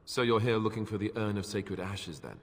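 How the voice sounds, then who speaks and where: An actor playing a wry young warrior companion in a fantasy game, with a dry, irreverent manner. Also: male voice, lowish voice, animated, fairly quick, big-room sound. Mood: dry, earnest.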